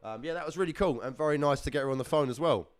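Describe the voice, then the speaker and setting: Upbeat chatty man, smooth voice. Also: fairly high pitch, racing, no room sound. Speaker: host of a live car prize draw. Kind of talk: casual live-stream chat.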